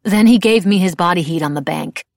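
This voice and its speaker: Lady playing a young witch girl in an audiobook, defensive and earnest, bit of gravel in it. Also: on the low side, a little fast, darkish tone, dry recording, clean studio sound. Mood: hurried, anxious. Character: defiant, guarded young witch heroine.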